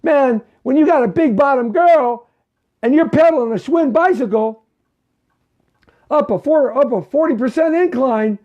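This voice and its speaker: A man's voice: extremely high pitch, normal speed, lo-fi sound. A man in his fifties hosting a live money talk show, preaching and repeating himself, and often checking in with "okay?" and "right?". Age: 50s.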